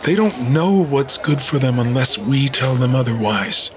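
An actor playing a cynical, stern police officer in an audio drama, dry and skeptical. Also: male voice, mid-range voice, lively intonation, unhurried, close to an everyday voice. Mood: impatient, scornful. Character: cynical, stern male police officer, self-styled realist. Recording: crowd noise behind. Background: crowd.

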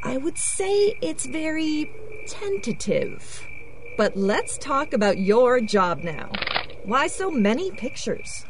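An actress playing a witty, self-assured quantum physicist in an audio drama, explaining things in a wry way. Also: female voice, high-pitched, big pitch swings, takes it slowly, background noise, full character voice. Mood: playful. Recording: outdoor night ambience with crickets, frogs. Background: crickets and frogs.

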